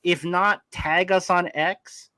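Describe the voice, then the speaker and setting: A man with a raspy voice co-hosting a casual livestream, running giveaways in an upbeat way. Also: sits high, fairly even intonation, slow pace, sharp tone, no echo. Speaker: livestream co-host.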